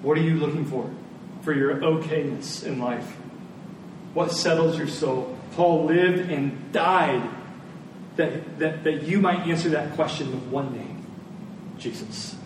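A roomy, slightly noisy recording of a man delivering a sermon. He is a pastor with a high-set voice, earnest and emphatic, repeating his key phrases for weight. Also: slow. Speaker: pastor preaching to his church. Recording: roomy, distant mic, faint noise.

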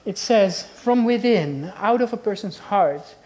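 A woman's voice: mid-range voice, expressive, unhurried, dark tone. A woman with a Dutch accent delivers a sermon. She preaches earnestly and conversationally, putting question after question to her listeners.

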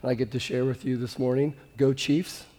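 A pastor with a slightly hoarse voice, preaching a sermon in a conversational, earnest way with frequent restarts. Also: male voice, medium pitch, flat delivery, a little fast, dark tone, in a large hall. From Kansas City, USA. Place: church sanctuary.

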